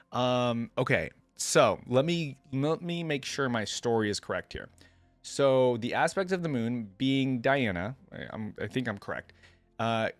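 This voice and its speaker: A man's voice: mid-range voice, no room sound. A man hosting a reaction video, casually thinking aloud and reading a story as he goes. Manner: talks to himself, thinking aloud with casual slang.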